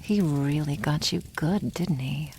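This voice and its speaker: A woman voicing a proud, teasing dragon girl in an ASMR roleplay, speaking softly and often near a whisper, with wry condescension toward humans. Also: deep voice, unhurried, slight background noise. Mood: amused, musing. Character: proud, teasing young female dragon, protective elder sister. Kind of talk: ASMR roleplay, addressing the listener.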